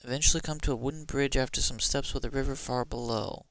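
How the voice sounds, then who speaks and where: A man's voice: medium pitch, steady tone, fast. A man giving casual live commentary as he plays a video game, sometimes reading directions aloud.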